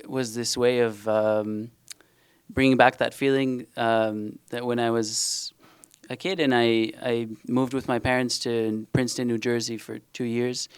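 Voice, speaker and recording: A man's voice: medium pitch, steady tone, unhurried, clean tone, no echo. A young man from Israel whose first language is Hebrew, speaking hesitantly with many fillers and restarts on a panel. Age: young adult. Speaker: panel author. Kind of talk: panel discussion and reading.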